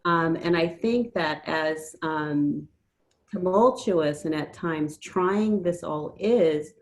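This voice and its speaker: A woman speaking as an interview guest, thinking aloud hesitantly with many "um" pauses. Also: deep voice, rough recording. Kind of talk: conversational interview.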